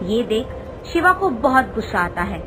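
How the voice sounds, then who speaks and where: A woman reading a scripted devotional moral story as a storyteller, in deliberate, short phrases. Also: fairly high pitch, sing-song, background noise. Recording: music behind the narration. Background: background music.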